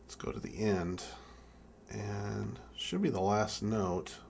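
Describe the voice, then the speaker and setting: A young adult man giving an informal hands-on tutorial, speaking haltingly as he thinks aloud while working. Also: fairly low pitch, gravelly, a little mellow, slight background noise. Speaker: music keyboard tutorial presenter. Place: indoor room with draped backdrop.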